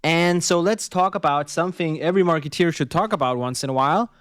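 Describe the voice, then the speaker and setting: A man's voice: a little high, fast, fairly bright tone, clean tone, no room sound, studio quality. A man from Denmark co-hosting a marketing podcast, chatting loosely and searching for words. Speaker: podcast co-host. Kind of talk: casual chat.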